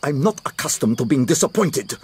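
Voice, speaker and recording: An actor playing a proud, tight-fisted businessman in a radio drama, husky and pompous, with an indignant manner. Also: mid-range voice, sing-song, fairly quick, darkish tone. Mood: haughty.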